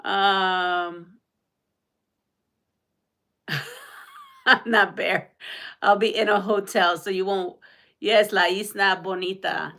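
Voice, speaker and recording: A woman's voice: mid-range voice, steady tone, drawn-out words, pauses often, bright, forceful tone. A woman from Rico, hosting a live quilting stream. She chats with viewers, reads out comments and quiz questions, and laughs often. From Puerto Rico. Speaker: quilting channel host.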